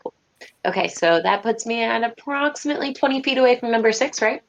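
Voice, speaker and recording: A woman's voice: a little high, animated, fast talker, creaky. A woman chatting casually and self-deprecatingly as a player in an online tabletop game, on slightly lo-fi audio.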